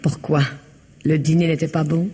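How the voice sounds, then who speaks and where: A woman's voice: sits low, level tone, normal speed, mellow, husky. An actress playing a cool, disdainful and manipulative woman in an old radio drama. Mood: coolly mocking. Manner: haughty and disdainful, later frantic with hatred. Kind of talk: 1960s French radio drama acting. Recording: hissy old radio recording with long reverb.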